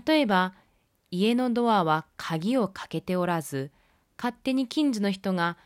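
A woman hosting a film review podcast, reading her scripted commentary in a measured, polite, explanatory way. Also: medium pitch, animated, no echo, studio quality.

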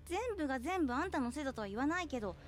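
A woman playing a young female roommate, caring but quick to blame, in an audio drama. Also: sits high, animated, background noise. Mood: reproachful.

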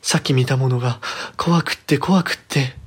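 A man's voice: medium pitch, moderate pace, breathy edge, lightly acted. A man voicing a headstrong, cheeky young girl who spooks easily, with girlish, dramatic phrasing in a horror storybook reading. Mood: frightened.

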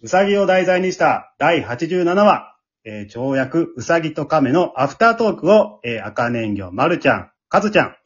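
A man's voice: a little high, big pitch swings, normal speed, husky. A man with a Kansai accent hosting a casual after-talk, switching between polite announcements and friendly chat. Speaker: host of an amateur radio drama group's after-talk. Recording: muffled lo-fi online audio.

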